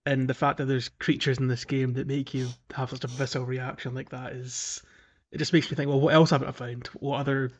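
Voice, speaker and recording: A man's voice: medium pitch, flat delivery, a little fast. Hesitant man in casual podcast chat. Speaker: podcast co-host. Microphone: remote call.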